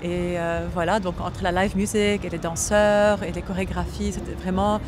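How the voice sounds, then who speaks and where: A performer from the show, talking about it in a relaxed, chatty way. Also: mid-range voice, moderate pace, noisy background. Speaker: performer interviewed. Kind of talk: interview answer.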